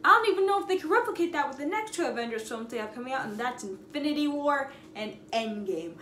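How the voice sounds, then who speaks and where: A young woman telling a casual story in an expressive, slangy way, with dramatic emphasis and repetition. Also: high voice, fast. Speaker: storytime video host. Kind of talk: casual animated storytelling vlog. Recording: echoey room with audible background noise.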